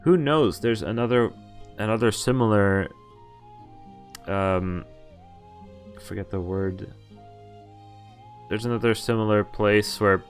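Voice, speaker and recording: A man streaming a visual novel, reading its text aloud and adding casual, wry asides, often stumbling over names and correcting himself. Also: lowish voice.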